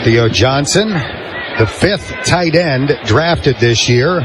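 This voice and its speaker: A man with an American accent doing live football play-by-play on the radio from a stadium booth, in short crisp phrases with names drawn out for emphasis. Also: mid-range voice, animated, very slow, a crowd in the background, noisy background.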